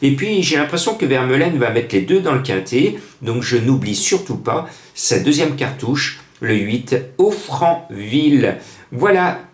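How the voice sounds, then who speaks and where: A man's voice: mid-range voice, animated, measured pace. A man giving informal horse racing predictions off the cuff, chatty and emphatic, often repeating phrases for effect. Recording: echoey room sound.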